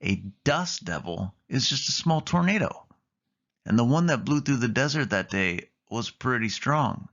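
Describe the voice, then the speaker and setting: A man's voice: medium pitch, animated, unhurried, fairly bright tone. Man voicing a reassuring lady car, slightly muffled. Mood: reassuring storytelling. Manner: reassuring, storytelling. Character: friendly female car, organized motel owner and storyteller. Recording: dull, muffled lo-fi recording.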